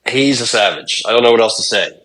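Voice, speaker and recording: A man's voice: medium pitch, quick pace, a bit bright. A young man co-hosting a sports podcast, talking in a laid-back, rambling way and swearing casually. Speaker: podcast co-host.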